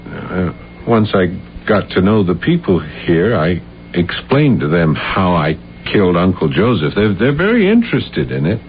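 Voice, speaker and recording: An actor playing an unstable, deluded man in an old-time radio drama, halting, stammering and repeating his words. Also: sits low, sing-song. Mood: eager, rambling. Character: unstable, deluded playwright who insists he killed his uncle. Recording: muffled, hissy 1970s radio recording.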